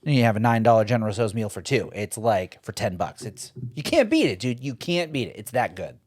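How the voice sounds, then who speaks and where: A man's voice: fairly low pitch, brisk, no echo, studio quality. Profane, jokey Midwestern podcast guy. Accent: Midwestern American. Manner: profane, jokey, animated storytelling. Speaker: podcast co-host. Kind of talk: casual banter. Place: podcast studio.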